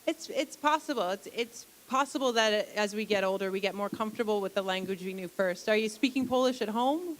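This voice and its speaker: A woman's voice: medium pitch, a little fast, few pauses. A woman lecturer answering audience questions after a public talk, explaining patiently with frequent restarts. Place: library atrium.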